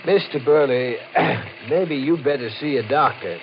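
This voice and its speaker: An actor playing a skeptical, money-minded estate agent in an old-time radio horror play, brusque and matter-of-fact. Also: male voice, medium pitch, unhurried, natural voice. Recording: noisy, muffled old radio recording.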